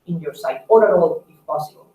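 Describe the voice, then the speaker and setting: A woman doctor giving advice on a conference call, talking haltingly with "like" and "right?" dropped in often. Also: sits low, warm tone. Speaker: staff doctor. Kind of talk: advisory conference call talk. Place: echoey room on a conference call. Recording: roomy, distant mic, noisy conference call.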